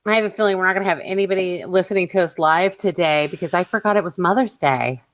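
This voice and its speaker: A woman's voice: lowish voice, quick pace. A woman co-hosting a podcast in casual, irreverent banter, giggly and a little tipsy, speaking into a headset microphone. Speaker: podcast co-host.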